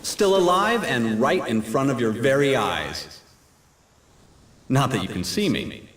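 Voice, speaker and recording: An actor playing a breezy, teasing young adventurer reduced to a disembodied soul in a video game cutscene. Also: male voice, medium pitch, big pitch swings, a little slow, fairly bright tone. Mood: wry, indignant. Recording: echoey, distant from the mic.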